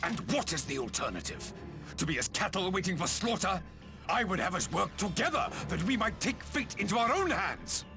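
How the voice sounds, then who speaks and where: A man playing an imperious aging emperor in a video game, speaking in grandiose, measured, archaic oratory. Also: sits high, sing-song, music in the background. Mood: impassioned. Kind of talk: dramatic video game cutscene monologue.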